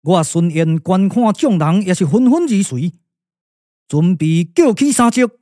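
Man as an excitable, high-voiced young warrior. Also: measured pace, clean studio sound. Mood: eager, puzzled. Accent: Taiwanese. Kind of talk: Taiwanese puppet-theatre radio drama acting. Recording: echoey reverb with music behind.

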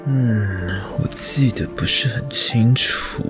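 A man's voice: lowish voice, over music. A man playing a thoughtful, courteous university professor in an audio drama, pondering as he explains. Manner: measured, scholarly, pondering.

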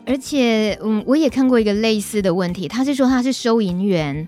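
A woman's voice: fairly high pitch, fairly quick, mellow. Taiwanese woman hosting, music behind. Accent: Taiwanese Mandarin. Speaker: radio show host. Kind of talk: casual interview chat.

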